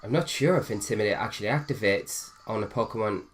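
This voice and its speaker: Low-voiced man with a cold. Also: fast, soft background music. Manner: thinks aloud, hesitant with fillers. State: nasal from a cold. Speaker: gaming video host. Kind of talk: casual gameplay commentary.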